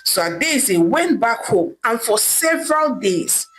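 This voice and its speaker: A woman narrates a folktale as a storyteller, in a fairly high voice. Her intonation is very animated and dramatic, and music plays behind her. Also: measured pace.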